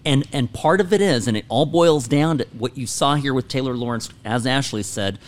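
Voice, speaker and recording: A man's voice: mid-range voice, moderate pace. Male talk radio host, middle-aged, opinionated and emphatic, leaning on key words.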